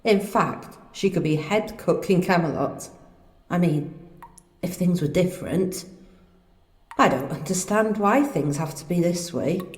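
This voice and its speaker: A woman's voice: fairly low pitch, a little mellow. A woman playing an earnest, idealistic young princess, polite and well-spoken, in a radio play. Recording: echoey stone hall reverb.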